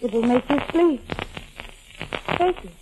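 A noisy vintage radio broadcast carries a high-pitched femme fatale. She is urgent and melodramatic, a scheming lover who puts on devotion in a 1940s thriller. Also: expressive, unhurried. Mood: soothing, devoted. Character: scheming, seductive woman feigning devotion to a fugitive lover. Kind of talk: 1940s radio suspense acting.

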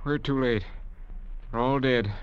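An actor playing an earnest, deferential ship's mate in an old radio drama. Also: male voice, medium pitch, unhurried, smooth, natural voice. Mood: alarmed, grim. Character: dismayed, slow-to-understand ship's mate, dutiful to his captain. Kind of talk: 1940s-50s radio drama acting. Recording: thin, phone-like old radio broadcast.

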